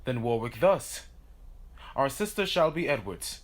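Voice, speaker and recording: An actor playing a stately king in a Shakespearean drama, courteous but quick to take offence, in formal verse. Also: mid-range voice, animated. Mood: judicious. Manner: regal, formal, declamatory. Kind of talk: Shakespearean stage-style audio drama acting.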